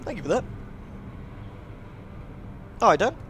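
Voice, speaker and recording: A man's voice: high voice, sing-song, unhurried. A man livestreaming a game, chatting casually with viewers and reading aloud. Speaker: game streamer.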